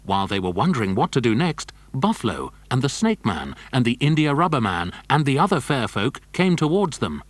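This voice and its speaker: A man narrates a children's adventure story with lively, varied intonation, reading from a script. The sound is dry and close. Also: mid-range voice, fairly quick, hardly any pauses, dry recording.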